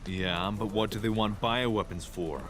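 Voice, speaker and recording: A man's voice: lowish voice, normal speed, a bit bright, lightly acted. A man playing a blunt, suspicious police inspector in an audio crime drama. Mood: frustrated.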